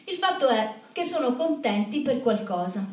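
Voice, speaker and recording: A woman telling a scripted story in a stage show, in a measured, reflective manner. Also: a little high, very animated. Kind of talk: scripted spoken-word narration in a theatre show. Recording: echoey room, distant microphone.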